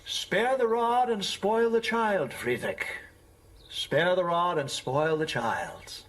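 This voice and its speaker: An actor playing a fussy, stern schoolmaster in an audio drama, with pompous, florid, theatrical diction. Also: male voice, sits high, lively intonation, slow pace, fairly bright tone, slight background noise, exaggerated voice. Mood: pompous, exacting.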